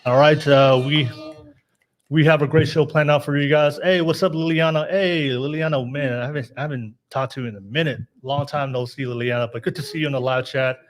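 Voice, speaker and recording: A man's voice: fairly high pitch, fairly even intonation, brisk. A man hosting a casual live podcast, upbeat, laughing often and restarting his sentences. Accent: Californian. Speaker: podcast host.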